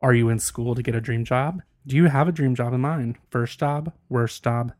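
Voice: mid-range voice, darkish tone, no echo, studio quality. A podcast host asking questions in casual chat, teasing the guest. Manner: teasing, asks rapid lists of questions.

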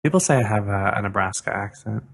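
A man's voice: lowish voice, fast talker, creaky, a bit bright, breathy edge. A man co-hosting a podcast, chatting casually and playfully, echoing words back for fun. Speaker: podcast co-host. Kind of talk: casual chat.

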